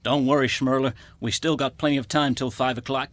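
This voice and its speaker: A man's voice: medium pitch, fairly even intonation, brisk, dry recording, lightly acted. Man playing a dim, breezy American president. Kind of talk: radio comedy acting.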